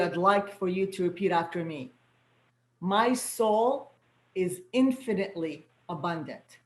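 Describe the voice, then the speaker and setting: Host: native Arabic-speaking woman, soul guide and coach, gentle and affirming; echoey video-call sound. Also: medium pitch. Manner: gentle, affirming, repeats words for emphasis. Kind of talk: inspirational welcome to an online gathering.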